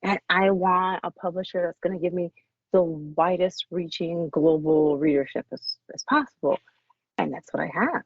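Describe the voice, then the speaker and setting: A woman speaking as an author guest in a casual interview, effusive and often halting and restarting her sentences. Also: lowish voice, creaky, no echo. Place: small cabin in the woods. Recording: dull lo-fi audio.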